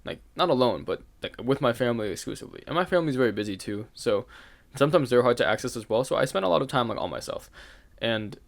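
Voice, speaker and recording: A man's voice: mid-range voice, expressive, fast talker, creaky. Young man telling a candid rant. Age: young adult. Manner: candid, rambling, with fillers and swearing; laughs now and then while talking. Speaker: channel host. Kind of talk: casual storytelling rant.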